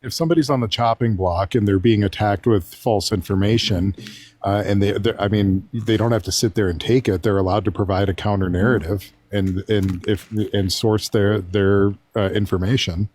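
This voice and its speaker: A man in a political call, explaining his points in a measured way. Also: fairly low pitch, fairly even intonation, darkish tone, dry recording. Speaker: debate participant. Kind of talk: political argument.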